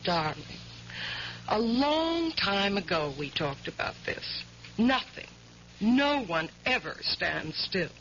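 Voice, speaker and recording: Bright, insistent wife. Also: female voice, medium pitch, sing-song, takes it slowly, heavily acted. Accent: American. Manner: pointed, questioning, teasing. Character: brisk, playful, insistent wife of a lawyer. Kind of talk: 1970s radio drama acting. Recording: dull, hissy vintage radio recording.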